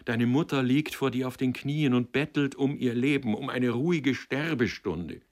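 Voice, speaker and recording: A man's voice: sits low, expressive, brisk, gravelly, heavily acted. A man voicing a desperate, fierce old mother who pleads and rages in wild, emphatic outcries in a dramatic audiobook. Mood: sobbing plea.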